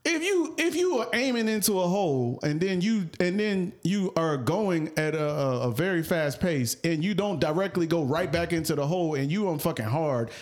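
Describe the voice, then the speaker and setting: A man's voice: fairly high pitch, lively intonation, moderate pace, few pauses. A man co-hosting a podcast, talking in blunt, profane casual chat and laughing through his stories. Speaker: podcast co-host.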